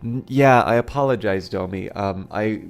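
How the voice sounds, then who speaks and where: A man hosting a live game stream in casual chat, his voice slightly rough after being sick, talking in a halting, rambling way as he thinks aloud and greets viewers. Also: on the low side. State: getting over a recent illness.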